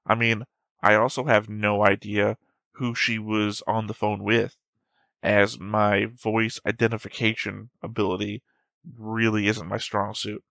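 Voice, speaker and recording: A man talks through his notes in a low, fairly flat voice, wandering from theory to theory and fixing his own slips as he goes. The sound is close and dry, with no room around him. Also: unhurried, creaky, no echo. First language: English. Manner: rambling, self-correcting, thinking aloud. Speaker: anime reaction channel host. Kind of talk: reading his pre-episode notes and theories.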